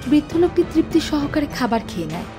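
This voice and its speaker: A woman narrator with a fairly high voice tells a scripted story. Music plays clearly behind her narration. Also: sing-song, quick pace.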